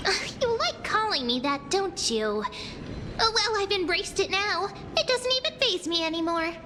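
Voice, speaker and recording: A woman's voice: very high voice, big pitch swings, moderate pace, long reverb tail, background noise. A woman voicing a small, chirpy, childlike fairy-like game companion who is wide-eyed and cheeky. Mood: playful resignation. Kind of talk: video game character dialogue.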